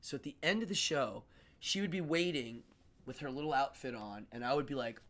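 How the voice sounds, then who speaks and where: A man's voice: medium pitch, fairly quick. A man with a nasal voice hosting a casual live-stream interview, telling stories with lots of laughter. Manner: animated storyteller, laughs often. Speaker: host. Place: New York City.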